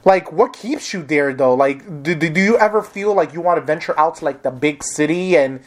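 A woman's voice: low voice, lively intonation, normal speed. A woman hosting a casual interview, talking candidly and bluntly and swearing freely. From big city. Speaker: host. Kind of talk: casual conversation.

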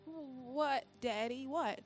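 An actress playing a young adult pastor's daughter in an audio drama, pleading and anxious, with a hesitant, melodramatic delivery. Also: female voice, sits high, very slow, husky, faint music behind, exaggerated voice. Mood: nervous.

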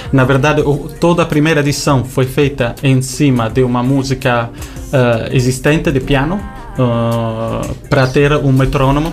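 A man from Italy speaking as an interview guest in non-native speech, with an Italian accent touched by Brazilian, explaining his work. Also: medium pitch. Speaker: interview guest, visual show creator.

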